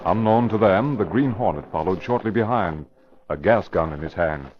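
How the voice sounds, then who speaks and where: A man's voice: low-pitched, expressive, moderate pace. A man giving formal, dramatic scripted narration for a 1940s style radio crime drama. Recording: muffled old radio recording.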